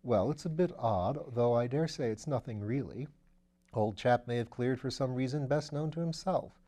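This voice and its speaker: A man's voice: mid-range voice, normal speed, mellow, close to an everyday voice. A man voicing a matter-of-fact young detective in an audiobook, conversational, level-headed and understated. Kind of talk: audiobook character voice.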